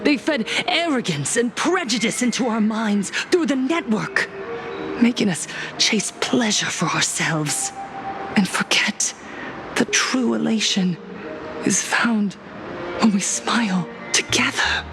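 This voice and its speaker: A fervent cult preacher. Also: female voice, a little high, animated, slow pace. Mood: zealous. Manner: impassioned, sermon-like, dropping to whispers. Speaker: cult leader preaching to a crowd. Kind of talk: game cutscene voice acting. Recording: game audio with music behind.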